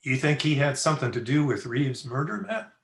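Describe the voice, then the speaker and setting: A man acts as a western town doctor in an old-time radio play. He has a medium-pitched voice and talks in a plain, folksy, concerned way. The recording is roomy and echoing. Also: animated, a little fast, natural voice. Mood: inquiring. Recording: roomy, echoing home video-call recording.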